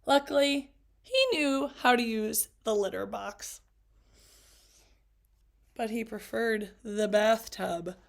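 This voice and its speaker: Expressive woman reading a picture book. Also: fairly high pitch, slow pace, vocal fry, pauses often. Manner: expressive, chatty asides to young listeners. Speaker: teacher.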